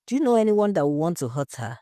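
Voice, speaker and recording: A woman's voice: lowish voice, big pitch swings, fast talker, warm tone, dry recording, clean studio sound. A woman voicing a composed, direct female investigator in an audio story. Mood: probing. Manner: direct, questioning.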